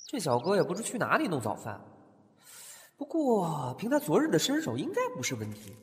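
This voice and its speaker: A man's voice: on the high side, huge pitch swings, brisk, slight background noise. A man playing a talkative, curious young antique-shop owner who often muses aloud to himself. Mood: musing. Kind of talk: fan audio drama acting.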